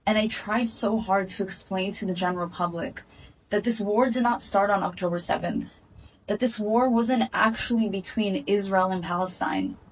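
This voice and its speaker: A woman giving an earnest, measured direct-to-camera statement, recorded in Israel. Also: medium pitch, far from the mic, low-quality recording. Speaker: activist speaking in a video clip.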